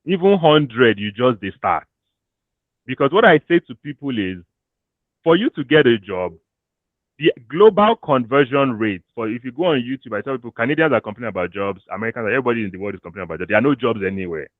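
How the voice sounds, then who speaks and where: A Nigerian man giving career advice to an audience in a casual, animated way, slipping into pidgin and laughing often. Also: medium pitch, quick pace, dry recording. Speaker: career coach. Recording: thin phone-like dry audio.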